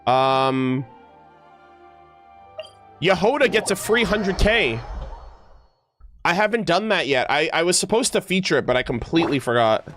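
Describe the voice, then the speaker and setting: Higher-pitched man, rambling casual streamer. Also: measured pace, pauses often, faint music behind. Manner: rambling, self-correcting, slangy, animated asides. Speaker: game streamer. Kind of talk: casual livestream chat with viewers.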